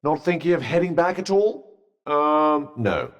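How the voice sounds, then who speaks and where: A sardonic magic bracelet trades dry, teasing remarks as a game companion. His voice sits fairly high and swings widely in pitch. A long reverb trails behind every line. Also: expressive, unhurried, muffled recording. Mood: wry. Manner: dry, teasing, understated quips. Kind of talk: video game voice lines.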